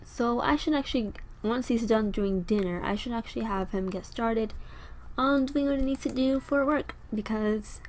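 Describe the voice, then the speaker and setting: A young woman with a slightly raspy, tired voice chatting casually as she plays a life-sim game, rambling and talking to her characters. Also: a little high, normal speed, darkish tone, a little hiss or hum. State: tired, sore throat. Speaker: gameplay video host. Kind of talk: casual gameplay commentary.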